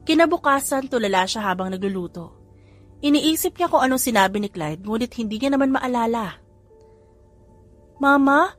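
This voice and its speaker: A woman reading a romance story aloud in calm, steady narration. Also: mid-range voice. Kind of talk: scripted audio drama narration.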